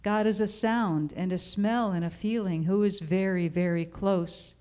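A woman's voice: mid-range voice, unhurried, a little mellow, smooth, lightly acted. A woman reading as a small earnest ant.

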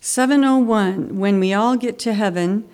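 A woman's voice: medium pitch, moderate pace, a little mellow, smooth. Woman leading a hymn sing, slightly scratchy. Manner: friendly, chatty, with hesitant restarts. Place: church sanctuary.

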